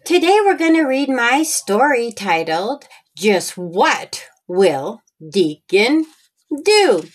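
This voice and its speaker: Female children's story reader, higher-pitched and very expressive, talking straight to little kids. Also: slow pace. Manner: simple, direct address to young listeners. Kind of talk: read-aloud children's storytime.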